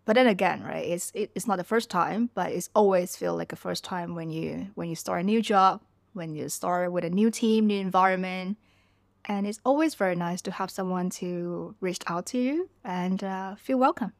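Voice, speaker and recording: Woman, lowish pitch. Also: moderate pace, vocal fry, no echo. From Vietnam. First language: Vietnamese. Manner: reflective, talks through memories with loose phrasing. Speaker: podcast guest. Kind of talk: casual conversational storytelling.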